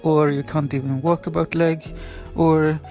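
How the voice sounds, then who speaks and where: Young man from Sweden with a Swedish accent, native Spanish speaker, hesitant casual podcast talk, monotone, soft music underneath. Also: fairly high pitch, a little slow. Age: 20s. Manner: hesitant, many fillers and restarts, apologetic. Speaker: podcast host. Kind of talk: casual solo podcast monologue.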